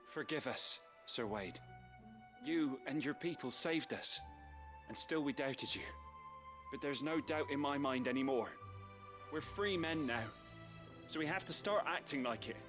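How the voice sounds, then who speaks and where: A man's voice: medium pitch, animated, a little slow, natural voice. A man voicing a humble freed villager in a game, earnest and declaiming. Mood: earnest defiance. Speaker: video game character. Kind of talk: dramatic game voice acting. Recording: game music behind. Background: game music.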